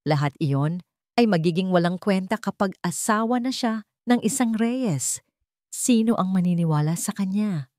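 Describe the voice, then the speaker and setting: A woman's voice: medium pitch, lively intonation, moderate pace, darkish tone, no echo, lightly acted. Cold, controlling father for audio romance drama, read by a woman; commanding, threatening, dry sound.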